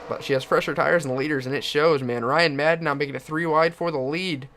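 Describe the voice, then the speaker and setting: A young man giving excited play-by-play commentary on a video game car race and hosting his channel, getting hoarse as he goes. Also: a little high, a little fast, a little hiss or hum. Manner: builds to shouting excitement at the finish.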